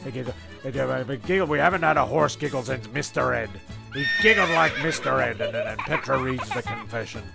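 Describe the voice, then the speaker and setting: Gravelly rambling male comic narrator. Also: mid-range voice, over music. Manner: halting, digressive, stumbling mock-storyteller.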